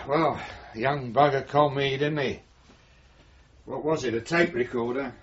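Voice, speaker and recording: An actor playing a middle-aged British police chief, bitter and resigned, grumbling hesitantly in a radio drama. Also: male voice, medium pitch, a little slow, slight background noise, lightly acted. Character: weary, bitter male police chief being arrested. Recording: dull lo-fi radio drama recording.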